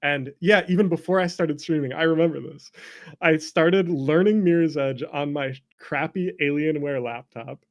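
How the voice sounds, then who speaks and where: Creaky-voiced young man chatting casually. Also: fairly high pitch, normal speed. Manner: informal, self-deprecating, peppered with "like". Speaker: interview guest, streamer and former speedrunner. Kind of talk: casual conversational storytelling.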